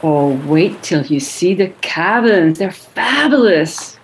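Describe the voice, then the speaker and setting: A woman playing an excitable, gushing guest on a girls' night out in a radio play. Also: lowish voice, slow, poor audio. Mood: excited. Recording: faint background noise.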